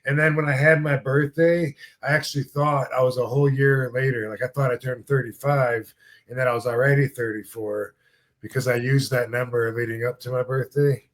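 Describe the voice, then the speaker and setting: A man's voice: medium pitch, fairly even intonation, brisk. A man in his fifties talks through a casual podcast chat. He rambles in a laid-back way, trails off into fillers and swears freely. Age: 50s. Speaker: podcast host, former wrestler.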